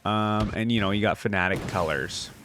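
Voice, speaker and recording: A man's voice: lowish voice, moderate pace, background noise. A man giving casual, enthusiastic reaction commentary as a gaming video host, full of exclamations and slangy phrasing.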